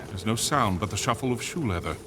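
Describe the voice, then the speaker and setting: An actor playing a tense, solemn radio announcer, giving measured, vivid live commentary on a crowded plaza in a verse drama. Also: male voice, on the low side, expressive, noisy background, close to an everyday voice. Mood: hushed suspense. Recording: clear outdoor crowd and street ambience behind.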